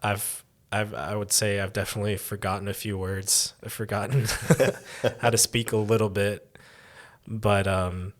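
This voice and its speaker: A young man who grew up abroad, answering interview questions as a church intern, with many pauses and restarts. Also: on the low side, little pitch movement. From raised in Taiwan, born in the United States. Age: young adult. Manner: hesitant, many ums and restarts.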